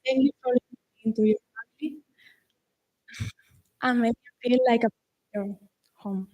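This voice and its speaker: A young woman from Spain, an exchange student giving a prepared speech and now and then halting to find her words. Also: a little high, dark tone, lo-fi sound. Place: school awards ceremony.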